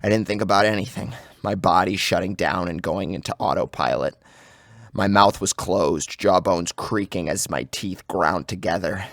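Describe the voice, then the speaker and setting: A man's voice: low voice, normal speed, fairly bright tone, no echo, clean studio sound. A man narrating a first-person horror story in a grave, intense, dramatic manner. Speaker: audiobook narrator. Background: music.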